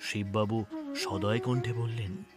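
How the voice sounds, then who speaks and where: A man narrating a scripted audio story in an expressive storytelling manner. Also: fairly low pitch, a little slow. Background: music.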